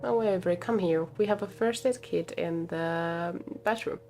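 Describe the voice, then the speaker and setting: A woman's voice: lowish voice, moderate pace, darkish tone, a little hiss or hum, close to an everyday voice. A woman voicing a caring, reassuring cafe coworker in a visual novel, with a concerned, coaxing manner. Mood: worried, fussing. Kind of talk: visual novel dialogue read aloud.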